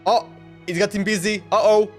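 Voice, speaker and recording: A man's voice: sits high, flat delivery, normal speed, faint music behind. A man livestreaming a game with casual, slangy, loosely phrased commentary. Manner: loose, slangy, hesitant reactions.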